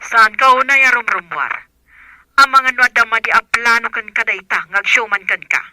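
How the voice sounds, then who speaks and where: Sharp, bright, concerned auntie. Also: female voice, fairly high pitch, normal speed, poor audio. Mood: cautioning. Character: concerned auntie, cautioning and advising her niece. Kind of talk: Filipino radio drama acting.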